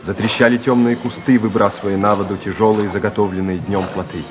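A man's voice: on the low side, steady tone, brisk, music in the background. A man narrating a war radio play in a dramatic, scripted style. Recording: muffled, noisy old radio recording.

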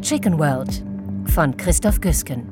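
A female announcer reads scripted credits in a very deep register for a woman. Her delivery is slow, formal and neutral, over clearly audible music. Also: expressive. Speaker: radio play announcer.

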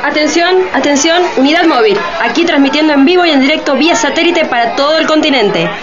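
A woman's voice: high-pitched, fast, lightly acted. A woman playing a bossy, impatient live reporter in a radio play, announcing breathlessly. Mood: excited announcing. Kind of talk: radio drama news-broadcast acting. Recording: lo-fi, noisy street crowd behind.

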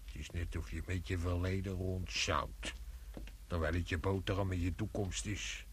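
A man's voice: deep voice, flat delivery, normal speed, a little hiss or hum, lightly acted. An actor playing a disgruntled, dreamy yet bullying workman in a radio play. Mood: gruff, bullying. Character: disgruntled working man hauling a corpse, grumbling, dreamy, bullying.

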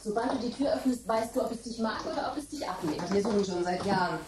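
A woman playing an ironic, soft-spoken guest in a radio play. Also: medium pitch, fast talker, natural voice. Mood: wistful. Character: witty, ironic woman guest, a man's wife. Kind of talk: radio drama acting. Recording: roomy studio with clear hiss.

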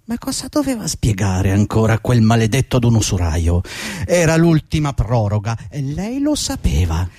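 A man's voice: medium pitch, huge pitch swings, moderate pace, mellow, no echo, studio quality. An actor in a radio play playing an old, loyal employee, anxious and needling, stammering and repeating himself as he questions his boss. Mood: prompting. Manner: stammering repetitions, prompting and questioning.

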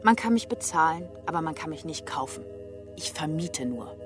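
An actress playing a tough street prostitute, mocking and hard-edged toward a former lover, in a German radio drama. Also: female voice, low voice, animated, moderate pace, over music. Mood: cold, dismissive. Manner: sardonic, teasing, hard-edged.

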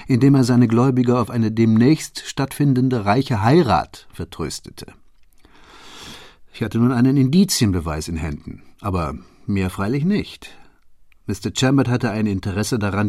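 An actor playing a shrewd detective in a German radio play, laying out his case in a deliberate, formal and precise manner. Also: mid-range voice, darkish tone, no echo, studio quality, close to an everyday voice. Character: shrewd, methodical male detective recounting his solved case.

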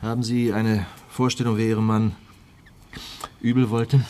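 A man's voice: lowish voice, little pitch movement, unhurried, dark tone, a little hiss or hum, close to an everyday voice. An actor playing a male police detective who questions in a matter-of-fact, probing way in a German crime radio play. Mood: businesslike.

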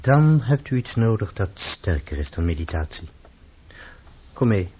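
Troubled, solemn man for radio drama; lowish, deliberate delivery, narrow phone-like sound. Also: big pitch swings, a little hiss or hum. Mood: grave. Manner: deliberate, formal, demonstrative. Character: grave, troubled man obsessed with purity, calmly instructing. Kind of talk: radio play acting. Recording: muffled, phone-like old radio recording.

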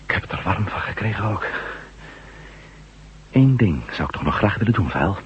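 An actor playing a curious, dryly joking ex-astronaut reporter in a science-fiction radio drama. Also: male voice, fairly low pitch, lively intonation, moderate pace, close to an everyday voice. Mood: busy, determined. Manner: dry, teasing, musing. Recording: dull lo-fi radio recording, humming noise.

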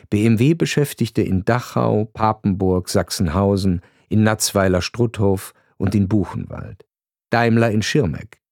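A man reading a scripted radio narration in a grave, measured storytelling manner. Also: on the low side, a little mellow, no room sound, clean studio sound.

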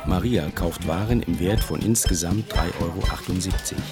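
A man's voice: deep voice, normal speed, close to an everyday voice. A man narrating facts and figures from a script as an announcer in a radio play. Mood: matter-of-fact. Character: male announcer of statistics. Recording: loud guitar music behind. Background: plucked guitar music.

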